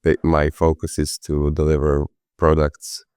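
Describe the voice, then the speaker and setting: A man from Croatia, a product manager, introducing himself on a webinar in a relaxed, hesitant way with many uhs. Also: extremely low pitch, unhurried, clear, resonant tone, dry recording, clean studio sound. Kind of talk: casual presentation with fillers.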